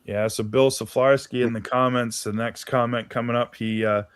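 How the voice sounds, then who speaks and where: A man's voice: medium pitch, fairly even intonation, normal speed, smooth. A man from Maine telling stories in a casual livestream chat, easygoing and folksy. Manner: easygoing storyteller, folksy asides. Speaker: guest.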